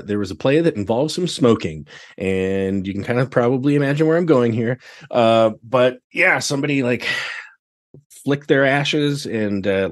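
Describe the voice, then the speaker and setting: Exclamatory man chatting casually. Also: fairly low pitch. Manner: animated reactions, exclamatory. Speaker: podcast guest, theatre director. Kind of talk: casual chat, anecdotes.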